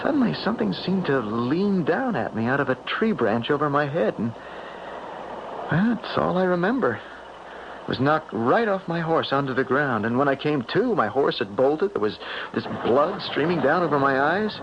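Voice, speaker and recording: An actor playing an earnest, lovelorn young sailor with a halting, hesitant delivery in a radio drama. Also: male voice, a little high, very animated. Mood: shaken, weary. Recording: muffled noisy 1970s radio broadcast.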